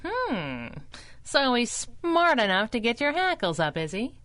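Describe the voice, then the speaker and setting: A woman's voice: fairly high pitch, big pitch swings, measured pace, a bit bright. A woman acting a feisty, wisecracking masked hero's sidekick with slangy, teasing speech in a 1930s-style radio drama. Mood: wry.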